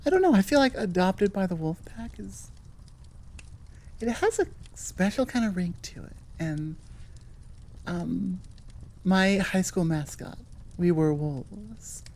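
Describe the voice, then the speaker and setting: A woman's voice: medium pitch, expressive, slow, long pauses, darkish tone. A woman hosting her audio roleplay channel, chatting to listeners in an informal, rambling, candid way. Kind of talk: casual chat to listeners.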